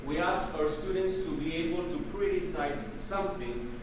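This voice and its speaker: A man's voice: fairly high pitch, moderate pace. A man from El Salvador with a Salvadoran Spanish accent, lecturing to teachers in an instructive way and often checking that they follow. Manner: instructive, checks understanding with frequent "right?". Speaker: English teacher trainer. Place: classroom or lecture room. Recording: echoey room, distant mic, noisy background.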